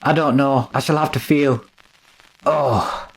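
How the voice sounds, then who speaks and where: A man's voice: medium pitch, fairly even intonation, slow, slightly rough, rough recording. A man playing a timid, complaining manservant in old-time radio drama, fretful and deferential toward his master. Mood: frightened.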